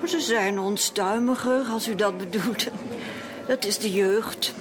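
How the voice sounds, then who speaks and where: A woman's voice: medium pitch, slow pace, over music, lightly acted. A woman playing a genteel, self-important society lady in a period radio drama, with a refined, confiding manner. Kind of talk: Dutch radio drama acting.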